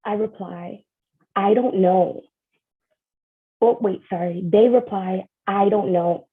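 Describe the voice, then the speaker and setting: A woman's voice: medium pitch, takes it slowly, breathy edge. A nervous young woman performing a spoken word poem at an online open mic, earnest and mixing in Spanish lines. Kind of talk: spoken word poetry reading. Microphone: video call. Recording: tinny lo-fi video call audio.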